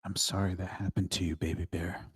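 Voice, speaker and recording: Woman acting reassuring guardian woman, deep husky voice, caring, screenplay table read. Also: little pitch movement, fairly quick, exaggerated voice. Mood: gentle.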